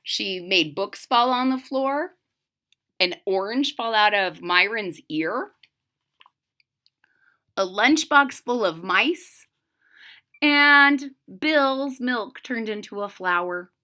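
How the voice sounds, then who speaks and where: A woman's voice: a little high, expressive, takes it slowly, long pauses, sharp tone. A woman reading a children's picture book aloud expressively, with explanatory asides to her young listeners. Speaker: storybook reader.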